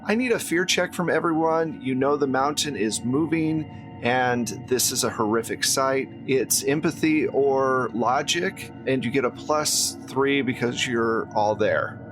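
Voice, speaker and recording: A man's voice: medium pitch, measured pace. A man running a tabletop horror game as game master, describing scenes in a casual way. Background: background music.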